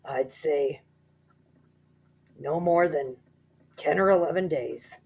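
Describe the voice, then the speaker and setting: A woman's voice: low voice, takes it slowly, gravelly, darkish tone, exaggerated voice. A woman voicing a nasty old man who sneers and taunts his wife. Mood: mock-solemn taunting. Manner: sneering, teasing.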